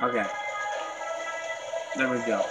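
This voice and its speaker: A young man hosting a let's play, chatty and excitable, a little hoarse from being sick as he talks to his game. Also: mid-range voice, slow. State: slightly hoarse from illness. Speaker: gaming channel host. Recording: loud game music behind.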